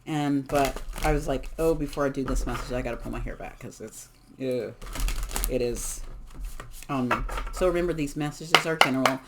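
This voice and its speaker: Hoarse, chatty card reader giving a casual collective reading. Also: medium pitch, moderate pace, background noise. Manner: chatty, emphatic, encouraging, many fillers. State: hoarse, losing voice. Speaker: tarot and oracle card reader.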